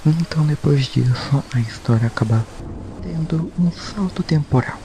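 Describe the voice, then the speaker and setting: A man's voice: a little high, lively intonation, normal speed, background noise. A man with a Brazilian accent informally narrating a story recap as a video host, in a conversational way with repetitive phrasing. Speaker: YouTube host.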